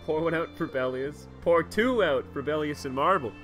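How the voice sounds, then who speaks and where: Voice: medium pitch, moderate pace, fairly bright tone. A game streamer making casual, joking remarks while playing. Faint music sits behind the voice.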